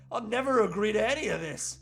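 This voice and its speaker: A man's voice: sits high, creaky, a bit bright, heavily acted. A man playing a defiant, indignant everyman husband in a comedic script read, speaking with earnest melodrama.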